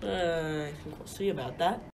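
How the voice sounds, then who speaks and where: A woman's voice: low voice, moderate pace. A woman playing an earnest, defiant god who champions mankind, a little hesitant, in an amateur radio play. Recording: faint background noise.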